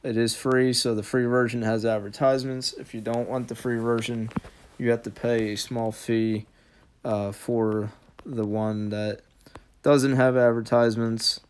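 A man's voice: medium pitch, flat delivery, measured pace, clean tone. A man giving a casual how-to voice-over as the host of an app tutorial.

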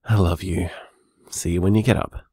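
Audio drama: worried, conscientious boyfriend played by a man, lowish voice, hesitant and earnest, dry close recording. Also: fairly even intonation, moderate pace, mellow, slightly rough, dry recording. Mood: anxious, sorry. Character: conscientious, anxious, apologetic man, loving partner, on voicemail. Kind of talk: intimate audio drama monologue.

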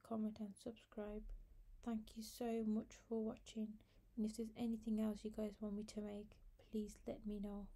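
A woman's voice: medium pitch, warm tone. A woman hosting a home cooking video, chatting through each step in a casual voice-over. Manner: chatty, talks viewers through steps with fillers. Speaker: cooking channel host.

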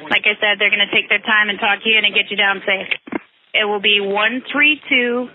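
A woman works as an air traffic controller, talking a pilot through flying step by step. She is encouraging and reassuring, heard over a thin, phone-like aviation radio at an average pitch. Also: steady tone, moderate pace.

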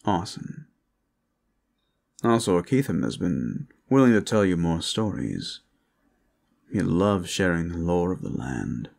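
A man performing an intimate audio roleplay as a protector, speaking in a reassuring, courteous, measured way. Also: deep voice, sing-song, vocal fry, a little mellow. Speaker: roleplay voice actor, playing a gentle protector.

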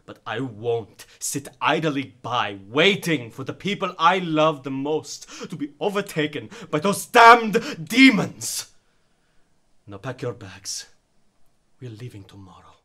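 A man's voice: fairly high pitch, animated, takes it slowly, sharp tone, exaggerated voice. A man playing a defiant, dramatic character in an audio drama. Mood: defiant, intense.

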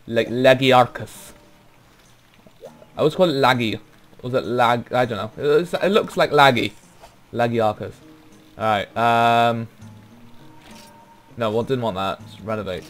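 A young man with a Welsh accent chats loosely over his gameplay at a middling pitch, drifting between thoughts and filling gaps with ums. Faint game music sits under his voice. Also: measured pace, pauses often. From Wales. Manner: rambling, laid-back, trails off with ums. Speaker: gaming YouTuber. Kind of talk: casual let's play commentary.

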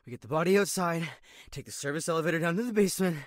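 A man's voice: fairly high pitch, animated, very fast, dry recording, studio quality, lightly acted. An actor playing a wounded, strained man who leads an anxious group of amateur thieves in an audio drama. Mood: urgent.

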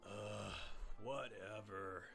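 A surly, dismissive young cartoon dragon acted by a man. The voice is fairly low and edgy, full of scoffing, with faint music underneath. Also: fairly even intonation, drawn-out words, gravelly, fairly bright tone, full character voice. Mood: scornful.

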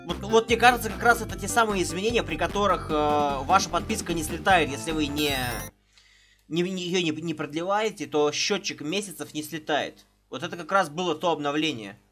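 A man's voice: on the high side, a little fast. A man in his thirties on a live stream, chatting casually from a small room into a headset and greeting viewers one by one. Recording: game music under the voice.

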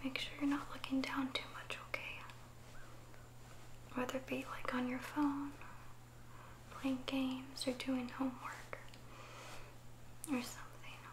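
Girl doing asmr girlfriend, sweet and caring, light whispery voice, takes her time, soft n soothing. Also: sits high, monotone, unhurried, pauses often, low-quality recording. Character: affectionate, gently teasing young girlfriend giving a massage.

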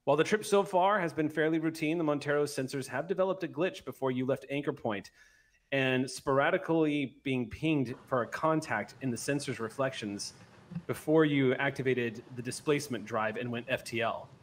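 A middle-aged man running a tabletop roleplay game as game master, narrating into a close mic with some halting self-corrections. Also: medium pitch, a little hiss or hum. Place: indoor room, on a group video call. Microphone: microphone on an arm, close to mouth.

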